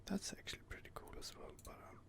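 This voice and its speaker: A man whispering casual gaming ASMR commentary while he reads out game options and muses over them. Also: fast talker. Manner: soft whispering, casual and musing.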